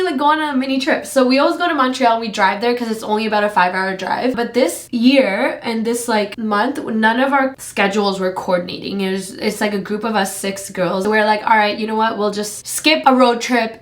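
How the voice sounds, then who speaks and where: A woman's voice: on the high side, a little fast, hardly any pauses, a bit bright. A woman in her twenties from Canada, chatting casually and rambling with lots of fillers while doing her makeup for a vlog. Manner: rambling, filler-heavy with frequent "like". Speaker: beauty vlogger. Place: home room.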